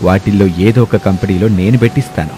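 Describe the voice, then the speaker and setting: A man's voice: fairly low pitch, quick pace, mellow, lightly acted. A man playing a polite, generous wealthy businessman who speaks courteously and reassuringly in a story.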